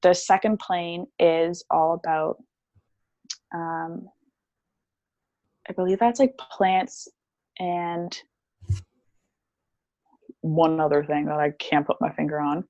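A woman's voice: lowish voice, steady tone, slow pace, long pauses, mellow, no echo, muffled recording. Chatty young woman explaining casually. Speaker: podcast guest, theta healing practitioner. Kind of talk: casual podcast interview.

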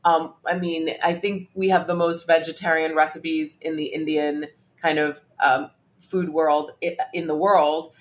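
A woman's voice: deep voice, level tone, a little slow. A woman in her forties from Hong Kong, telling family stories as a podcast guest and often restarting her phrases. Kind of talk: casual interview chat.

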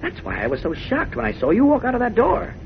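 An earnest, easily exasperated newspaperman in 1940s radio drama. He talks quickly in a fairly high, very animated voice. The sound is a dated, slightly noisy broadcast recording. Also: heavily acted. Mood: urgent, alarmed. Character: earnest, urgent male newspaper reporter, secretly a superhero. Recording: dull, faintly noisy old radio recording.

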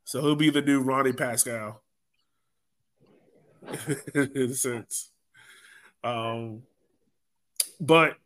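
A man's voice: mid-range voice, a bit bright. Casual male sports podcast host. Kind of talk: casual soccer match analysis.

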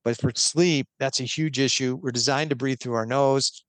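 A man's voice: medium pitch, fairly even intonation, moderate pace, no echo. A man talking as a guest expert on a podcast, explaining earnestly step by step with a few restarts.

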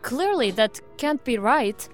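An actress playing a serious, formal federal agent reporting in a measured, matter-of-fact way in an audio drama. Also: female voice, fairly high pitch, big pitch swings, soft background music. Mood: serious, puzzled.

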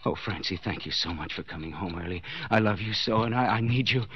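An actor playing a desperate, guilt-ridden husband in a 1940s radio suspense drama, stammering and repeating himself breathlessly. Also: male voice, lowish voice, fairly quick, close to an everyday voice. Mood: frantic pleading. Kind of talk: 1940s radio suspense acting, interior monologue. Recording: noisy, dull 1940s radio recording.

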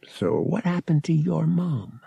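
A man's voice: fairly high pitch, very animated, measured pace, vocal fry, mellow, breathy edge, dry recording, highs cut off, pitched above the performer's own voice, full character voice. A man narrating an audiobook, voicing a bitter, defiant young male pilot who speaks with desperate urgency. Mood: baffled, demanding.

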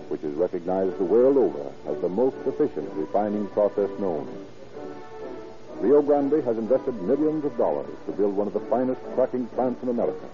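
A man announcing and narrating in a polished, formal 1930s radio style from a script. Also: lowish voice. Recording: muffled vintage radio recording.

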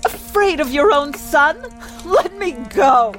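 A woman's voice: sits high, expressive, slow, over music. A woman playing a desperate, grieving mother in a horror audio drama, wailing and pleading frantically. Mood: frantic, desperate. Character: desperate grieving elderly mother, frantic for her dead son. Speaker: actor.

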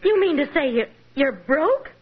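A fretful housewife. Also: female voice, high voice, sing-song, normal speed. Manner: anxious, pleading. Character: worried housewife fretting over bills. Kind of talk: 1940s radio acting. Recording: muffled old radio broadcast.

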